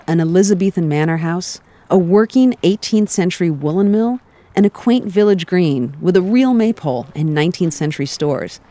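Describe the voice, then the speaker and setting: An American woman giving scripted travel narration in a calm, reflective storytelling manner. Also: fairly low pitch. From United States.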